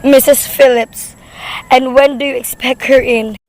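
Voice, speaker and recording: A woman's voice: high voice, steady tone, a little slow, a little mellow, low-quality recording. A woman playing a nervous, demanding invalid wife alone at home in a radio play, with an imperious, fretful manner. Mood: startled, uneasy.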